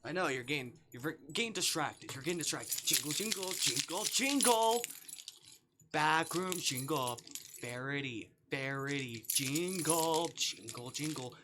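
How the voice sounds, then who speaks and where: A man's voice: on the high side, animated, measured pace, background noise. A man hosting a casual gaming livestream, rambling and often repeating words and phrases in singsong bursts. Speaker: livestream host.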